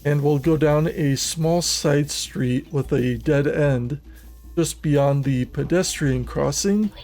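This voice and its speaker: A man narrating a scripted video game walkthrough in a measured, methodical, instructional manner. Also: a little high, a little mellow, soft background music.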